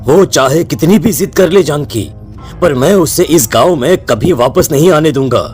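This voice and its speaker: A man's voice: on the high side, lively intonation, normal speed, darkish tone, faint music behind, natural voice. A man voicing a worried, protective middle-aged village headman in a cartoon story, grave and nervous. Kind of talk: Hindi cartoon voice acting.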